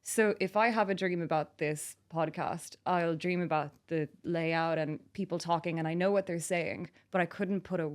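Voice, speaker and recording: A woman's voice: lowish voice, steady tone, normal speed, few pauses. Chatty woman with an international accent. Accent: mixed international, slightly Irish-tinged English. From France. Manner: chatty, with frequent "like" and "um", laughing easily. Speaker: guest, author and yoga teacher. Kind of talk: casual interview conversation.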